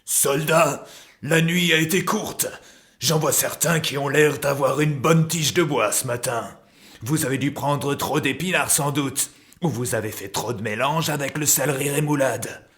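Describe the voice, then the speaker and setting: Man playing a pompous vegetable army officer, slow-paced. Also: medium pitch, fairly bright tone, close to an everyday voice. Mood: pompous, rallying. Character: commanding male vegetable army officer rallying his troops. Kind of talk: cartoon musical for children.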